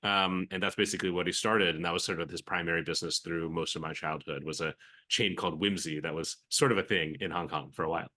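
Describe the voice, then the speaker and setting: Bright-voiced man talking candidly. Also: sits low, steady tone, fast talker. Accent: American. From Philippines. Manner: reflective, many fillers and restarts. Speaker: venture capital guest. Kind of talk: conversational podcast interview.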